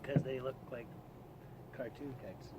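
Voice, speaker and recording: A man's voice: medium pitch, fairly even intonation, unhurried. A man co-hosting a comedy show in casual, crude and irreverent joking banter. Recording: noisy amateur recording.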